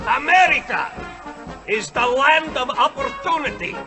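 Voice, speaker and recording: An actor playing a grandiose, menacing vampire leader in an audio drama, orating in a pompous, declamatory way. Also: male voice, very high voice, lively intonation, measured pace, music in the background. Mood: grand, rousing. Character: grandiose menacing male vampire leader rallying his kind. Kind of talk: theatrical audio drama oratory.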